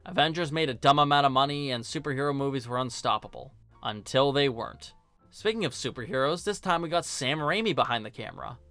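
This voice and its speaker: A man's voice: mid-range voice, animated, fast talker, bright, forceful tone, no echo, soft background music. A man narrating a scripted film review in a casual, joking, sarcastic and colloquial way. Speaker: video host and film reviewer.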